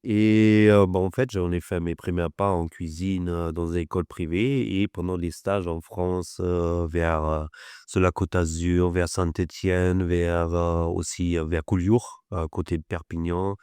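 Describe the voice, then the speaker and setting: A man's voice: sits low, level tone, a little slow, darkish tone, clear, resonant tone, no echo, studio quality. A man with a German accent whose first language is German, a chef telling stories and teasing in casual chat. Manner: teasing, storytelling.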